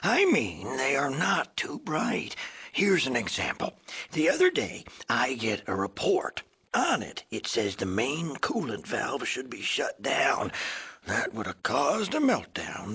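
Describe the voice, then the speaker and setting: An actor voicing a cranky, joking old male ghoul in a video game, with a wheezy, cracked rasp and a folksy drawl. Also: mid-range voice, huge pitch swings, unhurried, sharp tone, heavily acted. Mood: rambling, folksy. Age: older. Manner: folksy drawl, wisecracking, wheezy cackles and coughs. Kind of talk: video game character acting.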